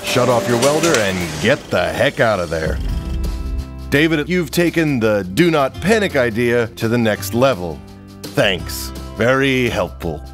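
Young man, scripted safety presenter, lively pitch, dry humor, music bed behind. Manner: wry, deadpan jokes between safety tips. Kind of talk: scripted comedic safety narration. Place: large industrial workshop, indoors.

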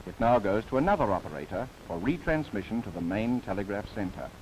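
A man's voice: on the low side, sing-song, brisk, a little mellow, a little hiss or hum, low-quality recording. A man narrating a scripted 1930s government documentary in formal, theatrical phrasing with wry asides, on an old film soundtrack.

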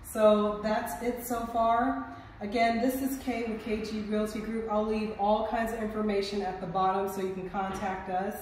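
A woman's voice: mid-range voice, normal speed, recorded from a distance, a little hiss or hum. Woman touring an echoey empty house. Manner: relaxed, chatty, pointing things out. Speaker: real estate investor giving a house tour. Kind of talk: casual walkthrough tour. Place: empty house under renovation, indoors. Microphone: phone camera held by someone else. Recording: echoey empty rooms, voice off-mic.

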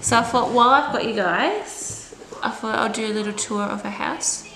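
A woman's voice: on the high side, normal speed, echoey room. A young Australian woman in her twenties chatting casually to camera at home, hesitant and stop-start with a slight stutter. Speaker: vlogger. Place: inside a house. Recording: clear background noise on home camera audio.

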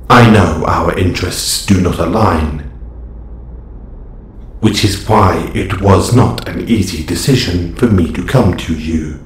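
A man playing a calm, formal robot superhero with quiet menace, speaking in stiff, precise phrases in an audio roleplay. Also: low-pitched, slow pace. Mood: formal, guarded. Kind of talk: scripted audio roleplay acting. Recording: echoey, faint background ambient noise.

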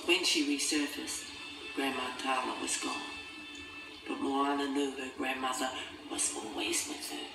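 A narrator reading a children's adventure story in a scripted, dramatic style. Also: mid-range voice. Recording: echoey, distant, loud music behind. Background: loud film-score music.

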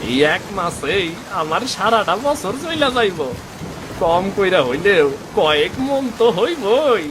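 A man playing an excitable village fisherman in a folk-tale cartoon, speaking colloquial rural Bangladeshi Bengali with exclamations. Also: high voice, measured pace, noisy background. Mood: amazed, excited. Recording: music behind the voice.

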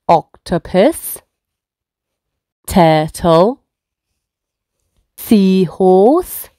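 A woman's voice: medium pitch, warm tone, no room sound, studio quality. A woman reading aloud to toddlers as a children's storyteller, in simple, encouraging child-directed speech. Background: faint music.

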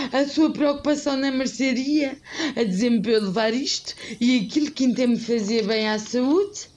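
A woman's voice: high voice, darkish tone. A woman playing a theatrical, scolding, lovelorn older village busybody in a radio soap, with a European Portuguese accent. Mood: wounded, pleading. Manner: theatrical, indignant, plaintive. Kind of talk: old-fashioned radio soap acting.

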